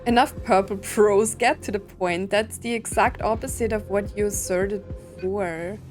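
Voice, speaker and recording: A woman's voice: medium pitch, steady tone, moderate pace, darkish tone, faint music behind. Chatty young woman reacting to a game. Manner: chatty and candid, with many fillers, laughs and blunt quips at the game. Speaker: gaming streamer. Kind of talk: casual game playthrough commentary.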